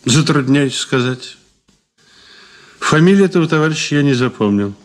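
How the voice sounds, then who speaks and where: A man's voice: a little high, lively intonation, moderate pace, natural voice. An actor in a Soviet radio drama playing a sardonic suspect who muses and taunts his questioner slyly. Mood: evasive. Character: male detained suspect, sardonic, philosophizing, taunting.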